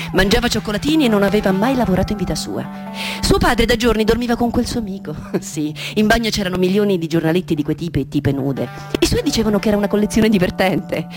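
A woman delivers scripted narration for a radio play. Her voice sits in a medium register and her phrasing is measured and dramatic, with music behind her. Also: medium pitch.

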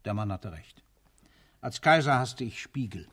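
An actor playing an imperious, sardonic aging general in a radio play, declaiming haughtily and giving curt commands. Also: male voice, lowish voice, animated, a little slow, a bit bright, husky, full character voice. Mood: wry, self-mocking. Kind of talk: theatrical radio drama acting.

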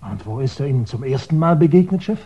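A man's voice: mid-range voice, lively intonation, fast, warm tone. An actor playing a cheeky, self-mocking male police sergeant in a 1960s German radio crime play. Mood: wry. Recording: dull 1960s radio recording.

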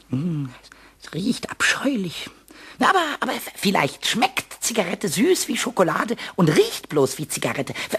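A man playing a small childlike kobold in a German radio play, naive and melodramatic, with a squeaky croak and stammered, repeated exclamations. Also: a bit bright, exaggerated voice.

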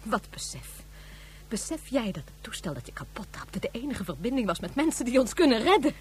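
Passionate, distressed mother, very animated. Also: a little high, brisk, breathy edge, heavily acted. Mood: angry reproach. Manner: emphatic, confrontational, earnest. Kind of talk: Dutch science-fiction radio drama acting. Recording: radio play with faint background hum.

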